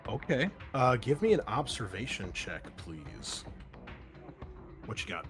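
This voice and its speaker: A man running a tabletop roleplaying game as game master, narrating off the cuff in a halting, conversational way. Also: mid-range voice, very animated. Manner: halting, conversational, thinking aloud. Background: background music.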